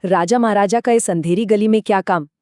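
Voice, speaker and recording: A woman's voice: medium pitch, fairly quick, darkish tone, no echo, studio quality, natural voice. A woman storyteller voicing cocky male thieves with a challenging, scoffing way of talking. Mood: mocking.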